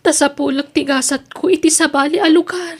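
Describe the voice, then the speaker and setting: Woman acting as high-voiced, pleading young daughter. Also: fairly even intonation, brisk, close to an everyday voice. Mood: sad, resolved. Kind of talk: Ilocano family radio-style drama acting.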